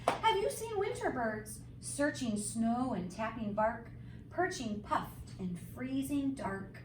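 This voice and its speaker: Woman reading to kids, slow and lively. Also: a little high. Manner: sing-song, emphatic phrasing addressed to young children. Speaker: teacher reading a storybook aloud. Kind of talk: expressive children's read-aloud with simple asides. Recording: roomy, distant mic, faint background noise.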